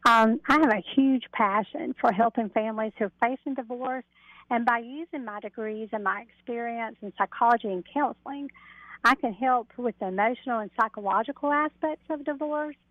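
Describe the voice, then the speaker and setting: A woman's voice: a little high, fairly quick, no room sound. Middle-aged woman on a phone line. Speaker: interview guest, mediator and coach. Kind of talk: radio interview, explaining. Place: phoned into a radio show. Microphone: telephone. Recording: narrow telephone line.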